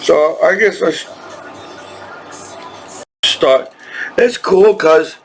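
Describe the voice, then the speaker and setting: A man in his thirties talking in a rambling personal vlog, halting and repetitive with many restarts. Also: fairly high pitch, gravelly, soft background music, low-quality recording. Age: 30s. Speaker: vlogger.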